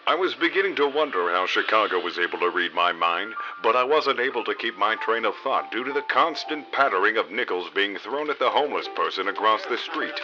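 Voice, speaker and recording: Casting: male actor as dreamy, clueless rookie gumshoe, lowish register, mock-serious hard-boiled radio narration, slightly dull recording. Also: lively intonation, fairly quick, few pauses, lightly acted. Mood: brooding narration. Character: hapless novice male private detective, deadpan, dim and dreamy. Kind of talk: parody noir radio drama narration. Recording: dull lo-fi audio under loud music.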